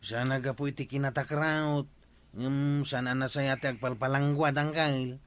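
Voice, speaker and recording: An actor with an Ilocano accent playing a curious, cautious village sidekick in a radio comedy drama, talking in a questioning way. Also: male voice, mid-range voice, steady tone, moderate pace, a bit bright, lightly acted. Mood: wary.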